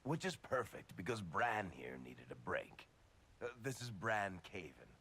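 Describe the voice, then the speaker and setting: A man playing a roguish, irreverent dwarf in a video game, with a gravelly voice and a breezy, sardonic way of talking. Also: sits low, very animated, measured pace, fairly bright tone, full character voice. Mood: jovial relief. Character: roguish, jovial male dwarf storyteller, irreverent. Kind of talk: video game cutscene acting.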